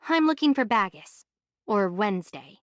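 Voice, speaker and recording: A woman's voice: mid-range voice, sing-song, moderate pace, no echo, clean studio sound, close to an everyday voice. An actress playing a young, determined female adventurer in an anime game, inquisitive and unimpressed. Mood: inquisitive, suspicious. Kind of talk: English anime game voice acting.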